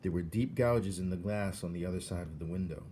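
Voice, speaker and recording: A man reading a children's novel aloud as narrator, in an expressive storytelling style. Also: low-pitched, fairly quick, a little hiss or hum. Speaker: storybook reader.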